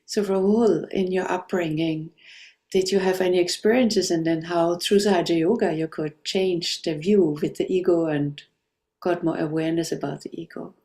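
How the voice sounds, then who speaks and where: A woman from Austria hosting a relaxed spiritual discussion, speaking gently and laughing often. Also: on the low side, moderate pace. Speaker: host.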